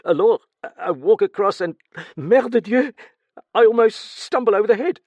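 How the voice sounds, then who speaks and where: A man's voice: high voice, animated, normal speed, a little mellow, no room sound, pitched above the performer's own voice. A man doing a stammering, frightened steward. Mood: frantic. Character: nervous stammering male French servant.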